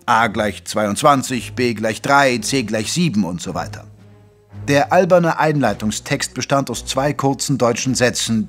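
Male actor as detective's gentlemanly chronicler, mid-pitched, articulate audio drama narration. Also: close to an everyday voice. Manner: measured, articulate storytelling.

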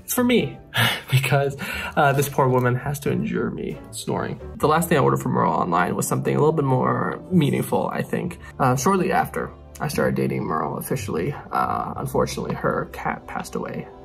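A young man from Thailand chatting casually to camera as an online video host, joking and quick to get excited. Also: medium pitch, vocal fry, faint music behind. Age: young adult. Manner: relaxed, joking, easily excited.

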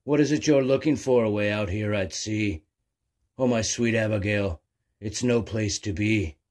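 A man playing a gentle, fatherly giant whale who speaks with kindly concern in a children's storybook. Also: lowish voice, normal speed, pitched above the performer's own voice, lightly acted.

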